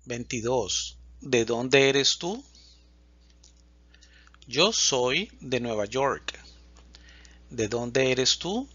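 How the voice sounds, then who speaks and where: A man teaching a Spanish lesson, reading example questions and answers slowly and distinctly. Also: medium pitch, animated, sharp tone, no room sound, lo-fi sound. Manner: reads questions and answers slowly and distinctly, pausing between lines. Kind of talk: slow, clear language lesson reading example dialogues.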